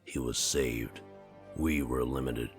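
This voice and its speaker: A man's voice: rumbling low, steady tone, takes it slowly, music in the background, heavily acted. A man playing an ancient, many-voiced spirit in a possessed body for a visual novel game, speaking formally and cryptically of itself as we. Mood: calm, commanding.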